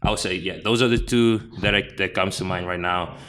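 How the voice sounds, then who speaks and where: A young man from South Africa with a South African accent, chatting casually as an interview guest, relaxed and full of fillers. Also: deep voice, fairly quick, slight background noise. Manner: relaxed, many fillers and restarts.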